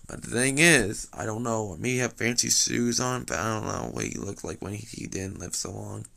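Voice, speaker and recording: Rambling man with a slightly raspy voice. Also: fairly low pitch, moderate pace, bright, forceful tone. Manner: hesitant, full of fillers and restarts. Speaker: vlogger. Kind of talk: rambling storytelling.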